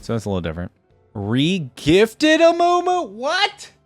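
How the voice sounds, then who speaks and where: A man's voice: sits high, huge pitch swings, measured pace, no echo, faint music behind. A man giving casual, off-the-cuff reaction commentary, like a video creator talking through what he sees.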